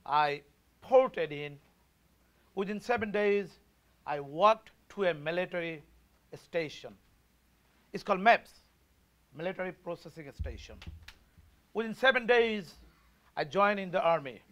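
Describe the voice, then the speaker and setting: A man's voice: high-pitched, animated, a little slow, pauses often, fairly bright tone. A man in his forties from Bangladesh with a Bangladeshi accent, giving an emphatic, rousing campaign speech at a political forum. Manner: emphatic, rousing, leads call-and-response. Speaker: party chair candidate.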